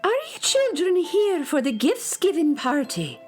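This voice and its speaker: Very high, kindly pixie. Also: female voice, big pitch swings, unhurried, over loud music. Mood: friendly, chatty. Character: kindly, chirpy female forest pixie. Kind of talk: children's radio drama acting.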